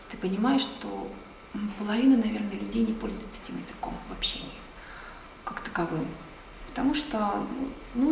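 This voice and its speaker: A woman from Russia in an interview, answering reflectively and unhurriedly, often trailing off into a soft, breathy tone. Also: medium pitch. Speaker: ballet dancer, interviewee. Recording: roomy, distant mic, noisy background.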